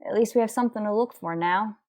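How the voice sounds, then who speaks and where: An actress as a female police sergeant in a vintage-style radio crime serial. She has a medium-pitched voice and narrates procedure in clipped, matter-of-fact phrases, all business. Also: quick pace, darkish tone, lightly acted. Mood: businesslike. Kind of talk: 1940s radio police drama acting, narration.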